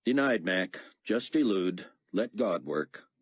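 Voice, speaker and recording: A man narrating an audiobook voices a calm male commander who speaks in short, terse phrases. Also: low voice, slow, husky, no echo, clean studio sound, full character voice. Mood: terse, steady.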